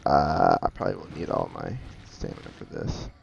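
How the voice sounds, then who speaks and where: A man's voice: a bass voice, sing-song, normal speed, vocal fry. A man giving casual, chatty gameplay commentary, reacting aloud and muttering asides. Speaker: gamer. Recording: dull lo-fi mic with faint noise.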